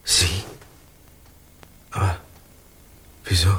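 An actor playing a confused, frightened injured man with a husky voice in a German radio crime play. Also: low-pitched, level tone, extremely slow, sharp tone, exaggerated voice. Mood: startled, confused.

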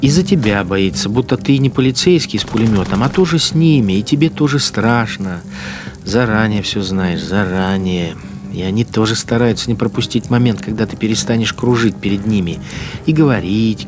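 A man's voice: medium pitch, natural voice. A male actor plays a wartime collaborator policeman with a mid-range voice. He is sneering and cruel, toying with his victims in a brooding dramatic monologue. Music runs under his words. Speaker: actor in a radio play. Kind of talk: dramatic first-person interior monologue.

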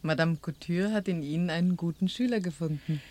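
A woman's voice: fairly low pitch, brisk, dark tone, dry recording, natural voice. An actress playing an inquisitive young woman in a radio drama, polite and probing. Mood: curious. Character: inquisitive young woman quietly investigating a death.

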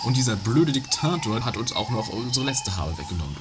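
A man's voice: medium pitch, very animated, brisk, natural voice. A man playing a naive, indignant, long-lost husband with theatrical delivery in an improvised comedy radio play. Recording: noisy 1980s homemade tape.

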